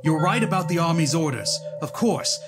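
A man's voice: on the high side, expressive, normal speed, close to an everyday voice. A man playing an earnest, pleading psychiatrist with a dramatic, urgent manner in a comic book dub. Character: earnest, pleading male psychiatrist, desperate and scheming. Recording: loud music bed behind the voice.

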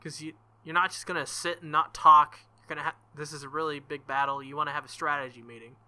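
A young man reading a visual novel aloud and commenting, animated and thinking out loud. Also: a little high, fast, smooth. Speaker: let's play host.

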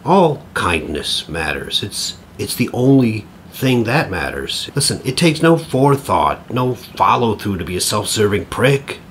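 An awkward middle-aged man in an audio play, eager to please. His speech is halting and earnest, with a very lively pitch. There is clear ambient noise behind him. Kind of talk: naturalistic audio drama acting.

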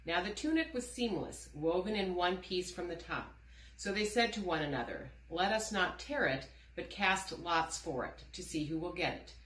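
A middle-aged woman giving a measured scripture reading as a church member, recorded indoors. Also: lowish voice, animated, a bit bright. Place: indoor room with wooden door. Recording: roomy indoor echo.

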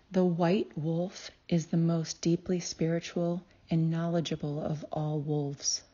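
A woman narrating a guided meditation in a calm, soothing way, with deliberate phrasing and long pauses. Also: on the low side, highs cut off. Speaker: guided meditation host and healer.

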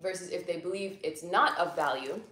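A woman's voice: lowish voice, a little fast, sharp tone, reverberant. A young American woman, a guest and venture capital investor, explaining things patiently and simply in a casual chat in a living room.

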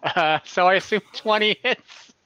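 A man's voice: sits high, a little slow, sharp tone, clear, resonant tone, no echo, lo-fi sound. A young man game master casually narrating an online tabletop game over a video call. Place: indoor room at home, on a video call.

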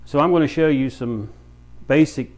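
A man in his fifties giving an informal how-to demonstration in a plain, folksy, halting way, as a practical instructor. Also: on the high side, darkish tone. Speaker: beekeeper and bee farm shop owner, instructor. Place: small brick-walled room with many windows, indoors.

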